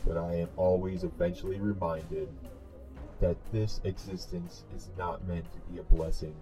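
A solemn male cosmic herald, acted by a man, speaks in a low, grave voice. The delivery is deliberate and theatrical, weighed down by torment, in a comic-dub monologue with music underneath. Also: fairly even intonation, close to an everyday voice, rough recording. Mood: solemn, burdened. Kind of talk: comic dub dramatic narration.

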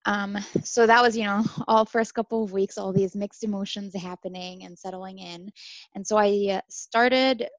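A woman in her twenties from Syria who grew up in Dubai, a former international student talking casually as a podcast guest, lively and full of fillers. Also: mid-range voice, moderate pace, no room sound, muffled recording. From Syria, raised in Dubai. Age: 20s. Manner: animated, chatty, with many fillers and laughs.

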